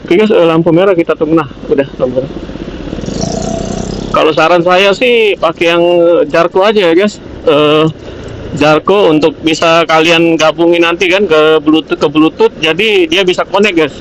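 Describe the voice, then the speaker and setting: A man's voice: fairly high pitch, normal speed, a bit bright. An Indonesian man motovlogging, casually rambling through a product review in an informal, hesitant, repetitive way as he thinks aloud. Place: outdoors, riding a motorbike on the road. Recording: noisy outdoor traffic and vehicle noise.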